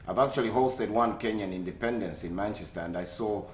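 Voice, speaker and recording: Chatty Zambian-born radio host, hesitant. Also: male voice, fairly low pitch, brisk, reverberant, a little hiss or hum. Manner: hesitant, many fillers, friendly questions. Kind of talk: radio phone interview. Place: living in the UK.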